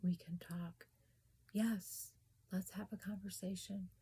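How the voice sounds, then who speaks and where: A woman talking casually about spirits and grief in a soft whisper, hesitant and full of restarts. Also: medium pitch, little pitch movement, takes it slowly, husky. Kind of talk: casual personal talk.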